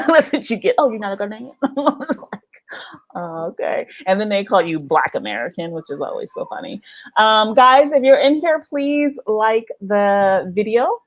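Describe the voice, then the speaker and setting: A woman's voice: mid-range voice, big pitch swings, measured pace, no room sound. A woman hosting a casual livestream vlog, chatting to viewers and laughing often. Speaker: vlog host running a livestream. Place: living in Ghana.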